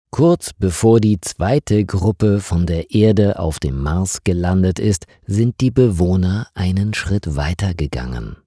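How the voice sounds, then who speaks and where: A man voicing a calm, eerie woman colonist on Mars in an audiobook, explaining things in a measured, solemn way. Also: sits low, slightly rough, no echo, studio quality, full character voice.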